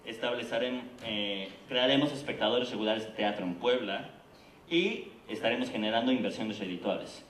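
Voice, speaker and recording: A man's voice: on the low side, expressive, moderate pace, a bit bright, slight background noise. A man with a Mexican Spanish accent giving a persuasive presentation at a theater congress, often checking in with the audience. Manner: earnest, persuasive, frequent "¿no?" and "¿de acuerdo?". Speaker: conference speaker presenting a theater project. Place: conference hall. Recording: echoey hall, voice from a distance.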